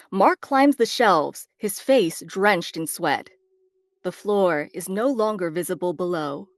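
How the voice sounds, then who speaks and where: A woman's voice: mid-range voice, no room sound. A woman narrator reading screenplay stage directions as dramatic scripted narration. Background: faint background music.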